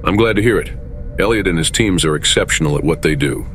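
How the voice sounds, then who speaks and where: A man playing a calm, diplomatic starship captain in an audio drama, speaking in a measured, reasonable way. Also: sits low, over music, close to an everyday voice. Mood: reassuring.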